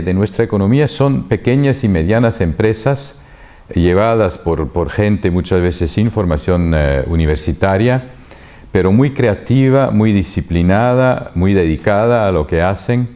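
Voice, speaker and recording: Low, mellow-voiced man speaking haltingly. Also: lively intonation. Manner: halting, many repeated words and pauses. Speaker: interviewee. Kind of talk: thoughtful conversational answer.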